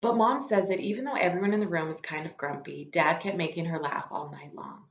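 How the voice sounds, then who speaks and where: A woman reading a story aloud like a teacher to students, expressive and informal, with casual asides. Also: on the low side, a little fast. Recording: echoey room, slightly dull lo-fi sound.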